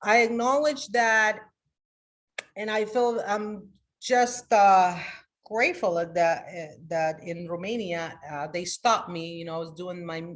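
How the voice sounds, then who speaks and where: An upbeat woman coach leads a live motivational session for her online group, speaking with a medium-pitched voice. She calls out greetings loudly and then drops into a softer, confiding tone. Her talk is emphatic and full of restarts. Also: slow. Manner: energetic and emphatic, with many restarts. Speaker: life coach and trainer.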